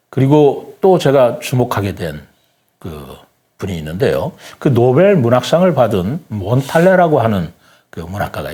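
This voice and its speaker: A man's voice: medium pitch, mellow. A man speaking as a guest writer in a reflective talk, explaining things earnestly in polite, formal speech and telling stories. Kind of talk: reflective talk-show conversation with storytelling.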